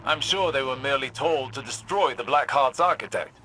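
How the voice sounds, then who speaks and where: An actor playing a wise, measured sorcerer-scholar, speaking calmly and deliberately in video game dialogue. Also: male voice, mid-range voice, natural voice, rough recording. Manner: calm, deliberate and grave. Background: game score music.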